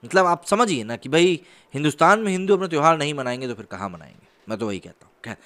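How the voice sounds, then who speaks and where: Male news presenter, slightly high voice, earnest and formal delivery for online current-affairs commentary. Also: lively intonation, quick pace, fairly bright tone. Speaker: YouTube news host and commentator. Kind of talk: news commentary, reading reports to camera.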